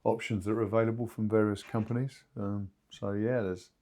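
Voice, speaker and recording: A man with a fairly low voice speaks as an expert co-host in a casual business discussion. He phrases things informally and haltingly, as unscripted conversation. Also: flat delivery, dark tone. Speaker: podcast co-host and two-way radio expert.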